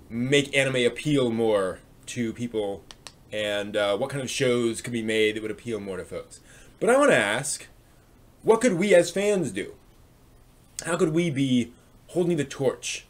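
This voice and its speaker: Animated male live stream host. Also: mid-range voice. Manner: conversational, halting, reads out chat comments. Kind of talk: casual chat with live viewers.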